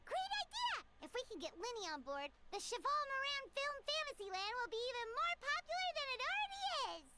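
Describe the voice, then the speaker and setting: A woman's voice: extremely high pitch, very animated, fairly quick, hardly any pauses, very noisy. A woman voicing a tiny, childlike female fairy companion in a fan-dubbed game, chirpy, perky and eager. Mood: excited. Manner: perky, speaks of self in third person.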